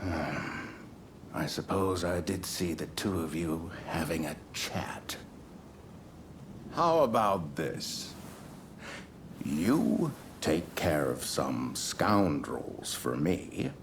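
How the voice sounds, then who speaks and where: Slow, low, glib dignitary. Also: male voice, sing-song, exaggerated voice. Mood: sly. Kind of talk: video game cutscene acting. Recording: clear rumbling background noise.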